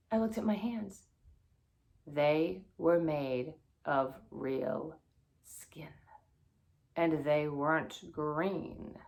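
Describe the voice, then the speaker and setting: Low-pitched woman reading a story aloud. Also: darkish tone. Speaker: guest story reader. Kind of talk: expressive storybook read-aloud.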